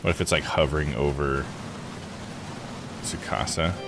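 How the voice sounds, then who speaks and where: A man's voice: extremely low pitch, steady tone, moderate pace, creaky, over music. A man giving casual live game commentary, joking, slangy and thinking aloud. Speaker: video game streamer.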